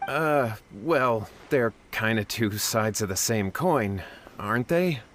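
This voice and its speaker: A man's voice: mid-range voice, animated, slow, a bit bright, a little hiss or hum. A man voicing a desperate young man in a video game, earnest and anxious as he pleads for help.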